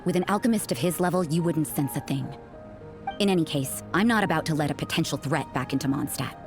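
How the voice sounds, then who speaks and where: A woman playing a stern, cynical young nun in a video game, guarded and suspicious, with a cool, sardonic, deliberate delivery. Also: low voice, over music. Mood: wary, firm. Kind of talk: English video game character dialogue.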